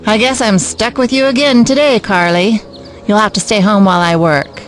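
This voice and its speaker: A woman's voice: mid-range voice, steady tone, moderate pace, a little hiss or hum, lightly acted. A woman playing a bitter, brusque, uncaring mother with a curt, dismissive manner in an audio drama.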